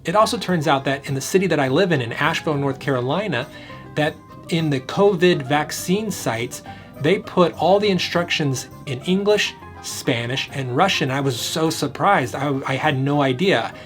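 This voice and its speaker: A man's voice: fairly high pitch, normal speed, a bit bright, soft background music. A man talking casually and enthusiastically to camera about his language-learning plans. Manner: enthusiastic, upbeat, occasional fillers. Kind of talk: casual explanatory vlog to camera. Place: home in Asheville, North Carolina.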